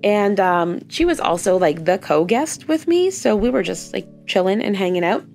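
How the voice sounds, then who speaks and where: A young Canadian woman with a hoarse, gravelly voice, chatting casually in a vlog voice-over about her weekend. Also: medium pitch, animated, normal speed, darkish tone, faint music behind. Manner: chatty, upbeat recounting. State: hoarse, lost voice. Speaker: doll artist vlogger.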